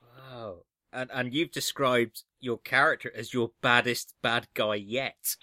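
A male podcast host with a bright, forceful voice, who sounds admiring and keen as he asks his questions. His voice sits in the middle range. The recording is dry and close. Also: mid-range voice, fairly even intonation, slow, no room sound. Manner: admiring, enthusiastic interviewer. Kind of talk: interview.

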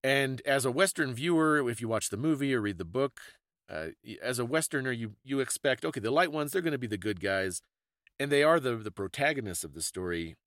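A man's voice: medium pitch, animated, a little fast, a bit bright, dry recording, clean studio sound. A man co-hosting a podcast, casually discussing folklore and stories in a thoughtful, explanatory way. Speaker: podcast co-host.

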